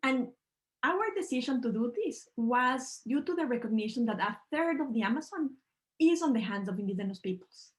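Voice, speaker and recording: A woman's voice: fairly high pitch, lively intonation. Earnest woman from Ecuador presenting. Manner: earnest, measured, slightly non-native phrasing. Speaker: panel speaker. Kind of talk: online presentation talk. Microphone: video call.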